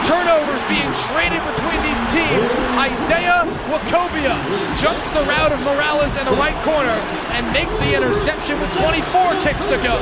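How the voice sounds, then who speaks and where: A young man calling live American football on radio as a student broadcaster, in clipped phrases that build into excited calls. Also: extremely high pitch. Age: young adult. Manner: clipped, rapid phrases rising into excited shouts. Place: football stadium broadcast position. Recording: clear outdoor stadium noise behind.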